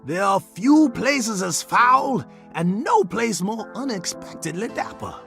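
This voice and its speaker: Creaky old warrior with wildly swooping pitch. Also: male voice, sing-song, takes it slowly, music in the background, full character voice. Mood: grandiose narrating. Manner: grandiose, sing-song storytelling. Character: old wandering warrior brother, theatrical and cunning. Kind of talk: dramatic video game cutscene acting.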